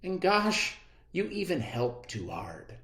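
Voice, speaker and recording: A reader voicing a patient, gently correcting female fish schoolteacher in a children's storybook. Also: mid-range voice, huge pitch swings, slow pace, reverberant, voice pushed up, exaggerated voice. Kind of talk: animated children's storybook read-aloud.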